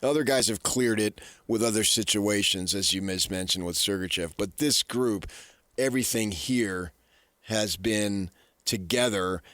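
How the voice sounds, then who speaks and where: An American man with a lowish voice gives sports commentary as a radio co-host. He is measured and earnest, and the close studio sound is dry. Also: fairly bright tone, no room sound.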